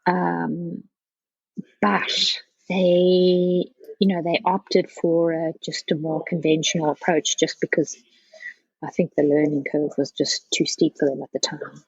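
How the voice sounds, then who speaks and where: A woman from South Africa speaking as an interview guest in a casual, halting way, often circling back with "you know". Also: sits low, little pitch movement, darkish tone, husky, no room sound, lo-fi sound. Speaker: podcast guest, architecture professional.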